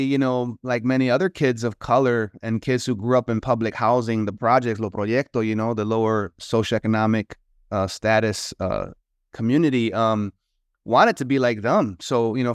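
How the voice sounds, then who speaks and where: Smooth-voiced reflective middle-aged man. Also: medium pitch, dry recording, studio quality. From New York. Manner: reflective storyteller who slips into Spanish, many "you know" fillers. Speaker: guest, author and consultant. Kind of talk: casual interview talk.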